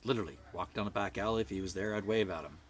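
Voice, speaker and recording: A middle-aged man from Canada with a loud voice, ranting bluntly to camera and swinging from hushed whispers to forceful outbursts. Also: low voice, rapid-fire, a little hiss or hum. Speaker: vlogger. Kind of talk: personal rant to camera.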